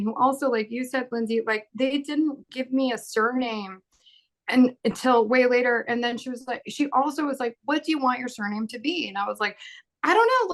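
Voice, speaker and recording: A woman in her thirties who grew up in Bahrain, speaking as an actor on a panel in an animated, casual way, laughing often and acting out quoted dialogue. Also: on the high side.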